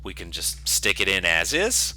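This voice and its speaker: A man giving an informal, step-by-step software tutorial as a developer walking through code. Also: low voice, sing-song, moderate pace. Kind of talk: screencast coding tutorial, semi-improvised walkthrough.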